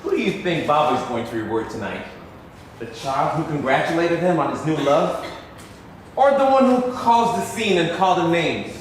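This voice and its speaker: A man's voice: fairly high pitch, animated, moderate pace, lightly acted. A man acting in a stage play as a wry, persuasive, scheming grown son of a wealthy family. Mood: calculating. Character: scheming, pragmatic adult son of wealthy businessman. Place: theatre stage. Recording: echoey theatre, distant mic, noisy.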